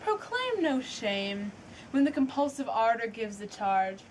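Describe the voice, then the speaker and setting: Woman doing an anguished shakespeare prince, accusing his mother, slow dramatic verse, highish voice. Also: big pitch swings, a little hiss or hum, low-quality recording. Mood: scornful, outraged. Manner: declamatory, impassioned, deliberate. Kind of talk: stage Shakespeare monologue acting.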